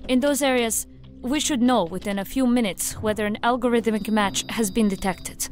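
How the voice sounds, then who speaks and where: Woman acting precise tech analyst agent, formal, businesslike, fairly high voice, faint music, sci-fi drama. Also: normal speed, lightly acted. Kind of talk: science fiction audio drama acting.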